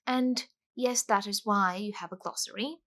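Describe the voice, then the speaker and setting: A woman's voice: medium pitch, moderate pace, fairly bright tone. A woman from Bulgaria, ranting animatedly and emphatically as a casual book vlogger. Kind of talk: casual book review rant.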